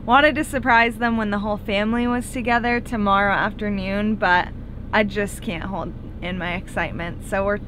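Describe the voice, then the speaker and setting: A woman's voice: medium pitch, fairly even intonation, normal speed, fairly bright tone, a little hiss or hum. A woman in her twenties with an American accent, chatting casually as a travel vlogger. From Maine, United States. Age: 20s. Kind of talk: casual vlog chat.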